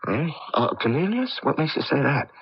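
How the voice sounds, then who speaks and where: An actor playing an anxious, earnest amateur inventor in a radio drama, talking with hesitant but emphatic delivery. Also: male voice, fairly high pitch, very animated, normal speed, breathy edge, exaggerated voice. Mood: uneasy. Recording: dull, noisy old radio broadcast.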